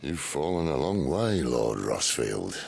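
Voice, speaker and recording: Casting: weathered outlaw chief for a game, wry and worldly, rock-bottom pitch with lively swings. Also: male voice, exaggerated voice. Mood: pointed, teasing. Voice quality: deep, gravelly. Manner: wry, unhurried, colloquial. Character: weathered middle-aged male outlaw leader, wry and worldly. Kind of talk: video game cutscene acting.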